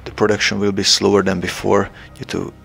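A young man from Hungary with a Hungarian accent, making a hesitant, casual announcement to his channel's viewers, pausing often with fillers. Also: lowish voice, monotone, creaky, a bit bright, soft background music. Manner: hesitant, many filler pauses. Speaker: channel creator.

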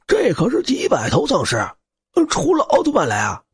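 Excitable, brash henchman, a man acting. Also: sits high, moderate pace, husky, dry recording, clean studio sound, voice pushed up, exaggerated voice. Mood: scoffing. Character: excitable male scavenger henchman, gleeful and brash.

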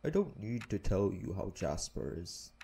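A man playing a calm, protective orc head of security, speaking in a measured, reassuring way in an audio roleplay. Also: on the low side, creaky, a little hiss or hum, full character voice. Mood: calm, knowing. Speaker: voice actor. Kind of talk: intimate audio roleplay acting.

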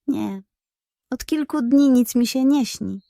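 A woman's voice: high voice, slow, mellow, no echo, studio quality. A woman voicing a sleepy, weary little female fox in a children's storybook, with a languid way of talking. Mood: drowsy, puzzled. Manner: drowsy, languid.

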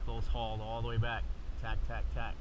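A man's voice: mid-range voice, completely flat delivery, moderate pace. A man giving casual running commentary from a small sailboat, whooping and calling out to people ashore, recorded on a boat-mounted action camera. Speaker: sailor filming his own boat trip. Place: small sailboat out on open water. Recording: loud wind and water noise.

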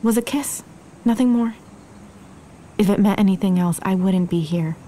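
An actress playing a tender, candid young woman in love in an audio drama, gentle and intimate. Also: mid-range voice, moderate pace, slight background noise, natural voice. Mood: earnest. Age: young adult. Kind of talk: naturalistic audio drama acting.

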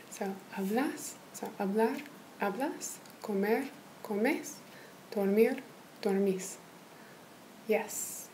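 A woman in a whispered tutoring roleplay, patiently walking a listener through a lesson in a soft, encouraging whisper. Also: medium pitch, a little hiss or hum, low-quality recording. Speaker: language tutor.

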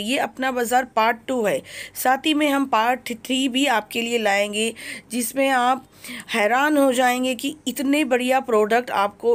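Female vlogger, slightly high voice, eager and salesy, phone-filmed in a busy store. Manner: enthusiastic, repetitive praise, promotional. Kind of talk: shopping vlog walkthrough. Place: crowded kitchenware shop, indoors.

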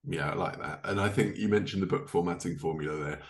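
A man's voice: low voice, quick pace. A man in his thirties chatting casually as a podcast host on a video call. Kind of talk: casual interview chat. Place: living room, indoors, on a video call.

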